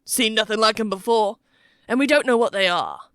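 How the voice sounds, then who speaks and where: A woman's voice: fairly high pitch, moderate pace, a bit bright, clean tone, no echo, studio quality, natural voice. Crude rank-and-file medieval soldier, played by a woman narrator, blunt and rustic, for an adventure audiobook. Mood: matter-of-fact. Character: coarse balding soldier man, gruff and blunt.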